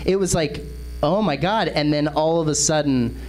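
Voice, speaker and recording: A man from Texas with an American accent hosts a comedy panel show in casual, profane, excitable banter. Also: on the high side, moderate pace, rough recording. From Texas, United States. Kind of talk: casual comic political chat.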